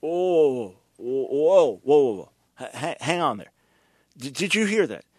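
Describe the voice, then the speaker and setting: A man's voice: fairly high pitch, very animated, takes it slowly, no room sound. Older guy host, preachy and emphatic, dry close mic. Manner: emphatic, preacherly, mocking at times. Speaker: radio show host. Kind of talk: commentary.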